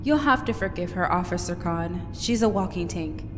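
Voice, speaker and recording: A woman voicing a no-nonsense female ship captain who talks briskly and gives warnings. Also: fairly low pitch, lively intonation, music in the background, close to an everyday voice. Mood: dry. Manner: brisk, cautioning, bossy.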